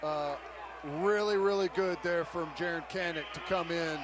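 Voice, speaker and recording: A man's voice: on the high side, fairly even intonation, a little slow, crowd noise. An American man giving conversational football analysis as a radio color commentator, repeating words for emphasis. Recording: very noisy stadium radio broadcast. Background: loud stadium ambience.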